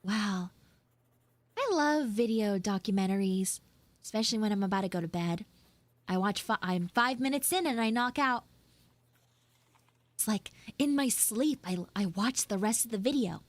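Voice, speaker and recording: A woman's voice: medium pitch, lively intonation, moderate pace, pauses often, no echo. A woman chatting in character on a livestream as a flustered tomboy tsundere schoolgirl, with dramatic, whiny exasperation. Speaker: VTuber livestreamer doing audio roleplay. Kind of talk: improvised in-character livestream chat.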